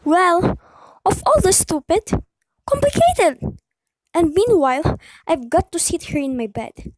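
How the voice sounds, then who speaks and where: Casting: actress as imperious, nervy invalid wife for radio drama; very high voice, big dramatic swings. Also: female voice, sing-song, unhurried, dry recording, exaggerated voice, poor audio. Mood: exasperated, indignant. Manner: querulous, imperious, melodramatic. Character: nervous, imperious bedridden invalid wife, demanding and panicky. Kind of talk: radio play acting.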